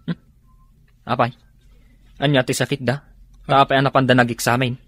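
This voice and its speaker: A man acting a nosy, cheeky, naive worker in an Ilocano-accented radio drama, with a husky voice. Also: medium pitch, little pitch movement, moderate pace, fairly bright tone, lightly acted. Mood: puzzled, nosy.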